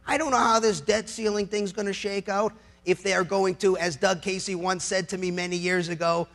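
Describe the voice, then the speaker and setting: High-pitched, gravelly American man giving a speech. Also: a bit bright. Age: middle-aged. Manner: emphatic, rhetorical questions, dramatic pauses. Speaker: lawyer giving a public speech. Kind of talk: impassioned political speech to a live audience.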